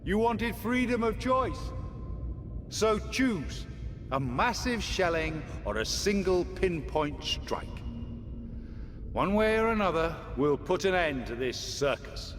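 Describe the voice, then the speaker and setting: An actor playing a resolute, sardonic army commander in a video game, with formal, commanding delivery. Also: male voice, high voice, sing-song, slow pace, soft background music, full character voice. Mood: forceful, sardonic. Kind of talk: video game cutscene acting. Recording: reverberant hall with background noise.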